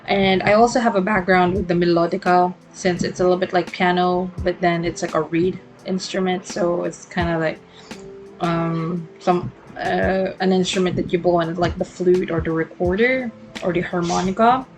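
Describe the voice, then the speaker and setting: A young woman hosts her own podcast in a relaxed solo monologue. Her voice sits on the low side, and she wanders through her thoughts with plenty of ums and restarts. Soft music plays faintly underneath. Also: normal speed. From Philippines. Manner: rambling and reflective, many fillers and self-corrections. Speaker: podcast host.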